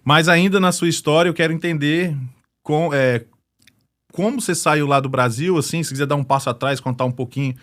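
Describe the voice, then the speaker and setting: A man's voice: on the high side, a little fast, fairly bright tone. Upbeat chatty male podcast host. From Brasília, Brazil. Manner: upbeat, chatty, leans on "né" tags. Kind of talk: live interview show hosting.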